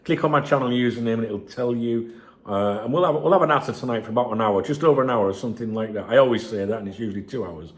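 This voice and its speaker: A man, croaky from a chest infection, giving sweary and sarcastic casual commentary on a news article as a football channel host. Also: medium pitch, fast talker.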